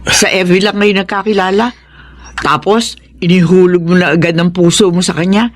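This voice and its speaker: An actress playing a frank, caring friend in a Filipino radio drama, chiding expressively. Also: female voice, lowish voice, fairly even intonation, normal speed, natural voice. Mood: concerned scolding.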